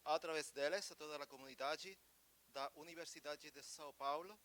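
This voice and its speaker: A man's voice: fairly high pitch, a bit bright, slight background noise, low-quality recording. Formal man careful Portuguese. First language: Spanish. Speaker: academic speaker. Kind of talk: formal conference remarks in Portuguese.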